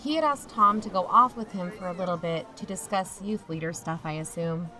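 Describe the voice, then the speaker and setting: A woman's voice: fairly low pitch, fairly quick, pushed and bright, soft background music. A woman playing a watchful youth-camp chaperone who narrates an audio drama in a confiding first person. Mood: reflective, uneasy.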